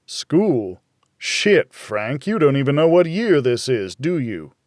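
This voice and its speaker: A man's voice: mid-range voice, sing-song, slow pace, darkish tone, dry recording, studio quality, voice pushed up. A man voicing a blunt, needling, worried older friend in a noir audiobook. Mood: exasperated.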